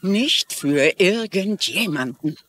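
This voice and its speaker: An actress playing a defensive, evasive middle-aged former secretary in a German-dubbed TV crime drama, guarded in manner and husky. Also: female voice, fairly low pitch, animated, takes it slowly, fairly bright tone, dry recording, heavily acted.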